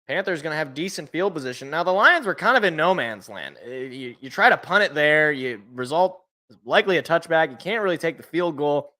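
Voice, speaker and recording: A young man calling a football game play-by-play from a sports podcast, casual, with a slightly rough, sniffly voice from allergies. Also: on the high side, fairly quick. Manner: excited calls, casual asides. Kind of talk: live play-by-play commentary.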